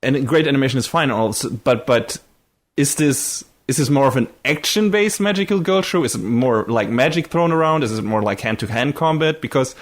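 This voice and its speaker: Man, medium pitch, casual co-host, inquisitive, halting phrasing, conversational. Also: animated. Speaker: podcast co-host asking questions. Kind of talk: casual anime discussion.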